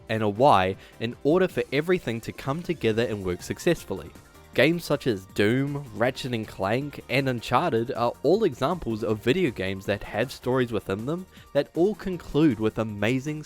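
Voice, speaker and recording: A man hosting a video essay, reading a script with emphatic delivery and dropping in casual asides. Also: mid-range voice.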